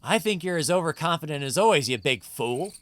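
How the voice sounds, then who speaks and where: Feisty, squeaky young adventurer. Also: female voice, lively intonation, fairly quick. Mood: teasing. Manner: brash, teasing. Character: feisty, sharp-tongued, teasing young female adventurer. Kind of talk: video game voice acting.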